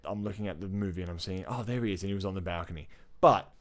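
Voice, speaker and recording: A man's voice: low voice, rapid-fire. A man co-hosting a casual pop culture podcast, enthusiastic and slangy, swearing freely as he chats. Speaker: podcast co-host.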